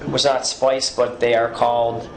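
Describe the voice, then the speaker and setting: A man's voice: medium pitch, little pitch movement, unhurried. A man working as a police interrogator, questioning someone in a probing way and repeating answers back in a recorded interview. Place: indoor police interview room. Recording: echoey room with background noise.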